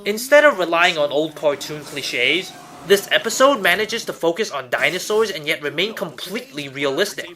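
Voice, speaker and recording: A man's voice: a little high, lively intonation, normal speed, sharp tone, slight background noise. A man hosting and narrating a scripted top ten countdown. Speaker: host of a top ten list show. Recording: music behind the voice. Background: music.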